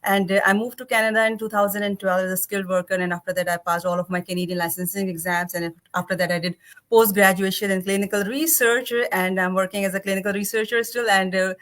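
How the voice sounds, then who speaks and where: A woman's voice: medium pitch, steady tone, fast talker, a bit bright. A woman in her forties from Pakistan, a physician speaking as a guest on an online panel in a chatty, upbeat way with many fillers and restarts. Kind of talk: casual webinar panel talk. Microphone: video call.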